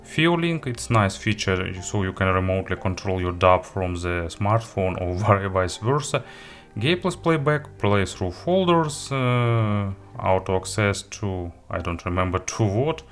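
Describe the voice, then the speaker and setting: A man with a low-pitched voice reviews tech in English that is not his first language. He talks casually and haltingly, correcting himself as he lists features, with faint music behind him. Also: very animated. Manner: halting, hesitant delivery with self-corrections and listed items. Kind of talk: casual product walkthrough, unscripted.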